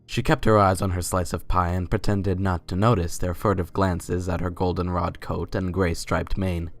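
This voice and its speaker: A man's voice: low-pitched, flat delivery, moderate pace. A man reading scripted story narration in a dramatic reading. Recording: music under the voice. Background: background music.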